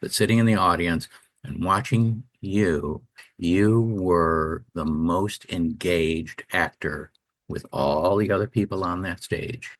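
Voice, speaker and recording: A man's voice: sits low, slow, dry recording. A man talking casually as co-host of a radio talk show.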